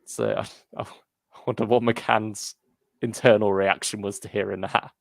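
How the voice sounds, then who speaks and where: A man's voice: fairly low pitch, level tone, normal speed, vocal fry, no echo. A man co-hosting a podcast, chatting casually and a little hesitantly about fan topics and listener comments. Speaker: podcast co-host.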